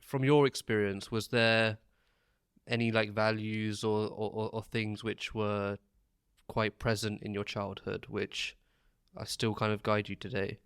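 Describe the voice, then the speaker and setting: A man's voice: on the low side, little pitch movement, measured pace, fairly bright tone, clear, resonant tone, no echo, studio quality. A man hosting an interview podcast, asking questions in a hesitant way with many restarts. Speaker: podcast host. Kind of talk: interview conversation.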